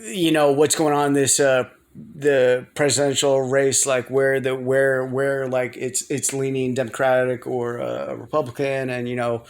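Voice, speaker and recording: An American man rambling casually to viewers in a vlog, halting often, repeating himself and circling back. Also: mid-range voice, level tone. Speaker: video blogger.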